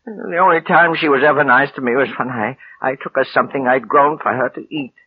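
An actor playing a sickly, brooding old gardener in a radio suspense play, husky and halting, with folksy rustic grammar and a weak voice that slips into whispers. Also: male voice, mid-range voice, natural voice. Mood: weary, bitter. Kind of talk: 1940s-50s American radio suspense acting. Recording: muffled old radio recording.

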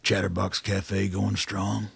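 An actor playing a blunt, weary army colonel in a radio drama, gruff and terse, with a gravelly, husky voice. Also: male voice, low-pitched, completely flat delivery, slow, no room sound, heavily acted. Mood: terse, wary.

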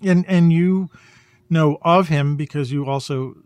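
A man in a casual podcast conversation, talking in a halting way as he searches for his words. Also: on the high side, a little mellow, clean tone, dry recording. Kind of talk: casual conversational podcast chat about photography.

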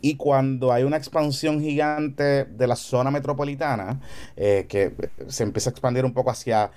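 A Puerto Rican man with a mellow, relaxed voice talks as a podcast guest. He explains in a casual, conversational way, peppering his speech with colloquial asides and English slang. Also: mid-range voice, moderate pace, smooth. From Carolina, Puerto Rico.

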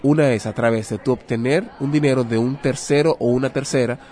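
A man's voice: mid-range voice, steady tone, brisk, a little mellow. A man with a Dominican Spanish accent explaining as an interview guest, in a didactic way with rhetorical questions and examples. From Dominican Republic. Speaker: guest, personal finance adviser.

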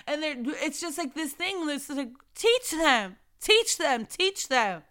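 A woman's voice: high-pitched, very animated, takes it slowly. A young woman giving an informal preaching talk, urging other mothers with repeated rhetorical questions and fervent exhortations. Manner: shifts between soft reflective testimony and loud urging.

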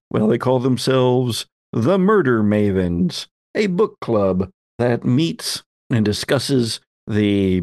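A man's voice: medium pitch, a little mellow, no room sound, studio quality. A man hosting as game master, narrating a tabletop roleplay story in a theatrical, folksy storyteller's way. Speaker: podcast host and game master.

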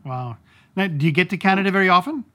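A man's voice: high-pitched, fast, pushed and bright. A man interviewing a guest, asking brief, encouraging questions in a casual tone. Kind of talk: conversational interview.

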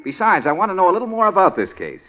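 An actor playing a suave, wry private investigator in a 1940s radio detective drama, cool and commanding with a flirtatious streak. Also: male voice, sits high, wildly swooping pitch, rapid-fire. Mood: brisk, shrewd. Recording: muffled old radio drama recording.

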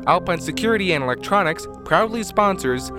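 A man's voice: high-pitched, animated, fairly quick. A man working as a radio announcer and narrator, reading scripted introductions and sponsor messages. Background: music with plucked guitar.

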